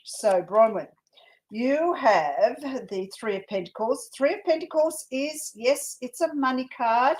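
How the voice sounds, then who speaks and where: A woman, a psychic co-host giving tarot card readings on a casual live stream, lively and quick to laugh. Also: a little high, poor audio. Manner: animated, laughs often, repeats names.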